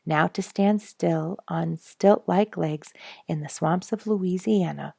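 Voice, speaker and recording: A woman narrating a children's story aloud in a measured, deliberate way with dramatic emphasis. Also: on the low side, gravelly, a little mellow, husky, dry recording, studio quality. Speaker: children's story reader, regular of the show.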